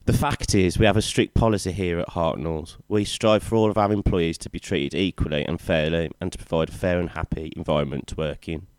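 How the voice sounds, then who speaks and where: Man playing stern, low-voiced British manager. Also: steady tone, brisk, few pauses, darkish tone, no echo, lightly acted. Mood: stern, reproachful. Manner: firm, lecturing. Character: stern, reproachful male shop manager. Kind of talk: audio drama acting.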